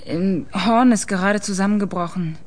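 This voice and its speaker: An actress in a radio play voicing a sceptical, sober journalist in a reserved, matter-of-fact way. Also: female voice, mid-range voice, moderate pace, close to an everyday voice. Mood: subdued, matter-of-fact. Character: sober, sceptical female journalist interviewing a scientist. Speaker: radio drama actor.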